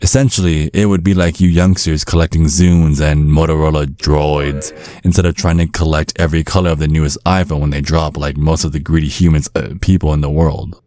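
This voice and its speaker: A man acting a menacing, courteous old vampire father in an ASMR roleplay, speaking in measured, slyly threatening tones. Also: a bass voice, fairly even intonation, dark tone, no room sound, studio quality. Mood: smug, courteous. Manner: measured, veiled threats under courteous small talk. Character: menacing ancient vampire father, polite, sly and controlling.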